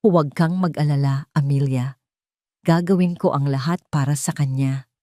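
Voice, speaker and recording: A woman's voice: deep voice, moderate pace, dark tone, no echo, lightly acted. A woman as a troubled, earnest male doctor, dry sound. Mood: reassuring. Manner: subdued, sincere.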